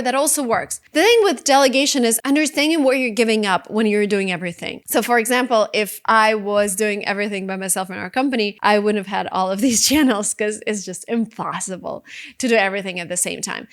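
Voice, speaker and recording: A woman, giving casual, emphatic, conversational advice as a video creator. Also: medium pitch. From Russia. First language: Russian. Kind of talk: casual talking-head advice vlog.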